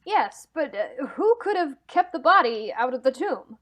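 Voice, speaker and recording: Woman playing an indignant, high-voiced accused gentleman. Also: very animated, normal speed. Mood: baffled. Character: indignant accused nephew, Mr. Despard, scornful gentleman. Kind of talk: old-time radio mystery acting.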